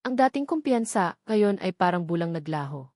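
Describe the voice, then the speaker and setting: A woman's voice: lowish voice, very animated, normal speed, a little mellow, no echo, clean studio sound. A woman reads a dramatic first-person story as a scripted narrator.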